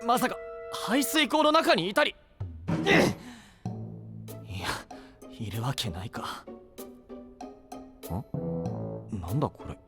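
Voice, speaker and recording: A brash young knight candidate in an anime-style fantasy audio drama. He grumbles in rough, exasperated slang that swoops dramatically, yet has a kind streak underneath. Prominent music plays behind him. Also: high-pitched, wildly swooping pitch, very slow, pauses often, heavily acted. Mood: urgent, irritated.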